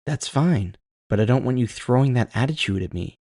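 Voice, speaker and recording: A man's voice: medium pitch, lively intonation, moderate pace, a little mellow, no room sound, clean studio sound, pitched above the performer's own voice, lightly acted. A man voicing a fierce, blunt but caring tough woman who swears and challenges, in an audio story. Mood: stern.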